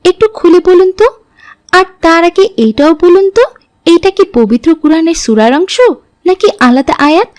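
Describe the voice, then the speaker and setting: Pious, helpful cartoon girl, played by a woman: very high, lively pitch, respectful and earnest. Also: moderate pace, dry recording, clean studio sound. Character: polite, pious, helpful young daughter. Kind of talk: Islamic cartoon dialogue.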